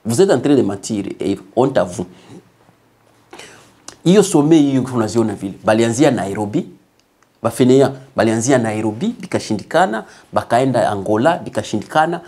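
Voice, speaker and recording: A man's voice: medium pitch, lively intonation, moderate pace, mellow, slightly rough. A man hosting an online commentary show, hesitating often with fillers and mixing French with Swahili, growing heated when indignant. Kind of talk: political commentary monologue.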